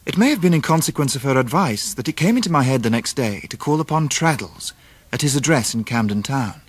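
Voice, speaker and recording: A man's voice: mid-range voice, expressive, a little fast, no echo, close to an everyday voice. An actor playing a composed, genteel English man who narrates his own story in a radio drama. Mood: composed, wry. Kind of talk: dramatised first-person storytelling.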